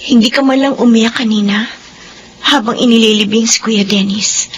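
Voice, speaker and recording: A woman's voice: a little high, steady tone, normal speed, close to an everyday voice. An actress in a radio drama playing a younger sister-in-law who questions her brother's widow. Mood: suspicious. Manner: questioning, probing tone. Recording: radio drama with faint background noise.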